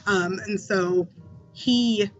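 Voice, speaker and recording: High-voiced mentor talking loosely. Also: male voice, steady tone, very slow, highs cut off, faint music behind. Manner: conversational, many fillers and restarts. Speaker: school mentor. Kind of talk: interview answers.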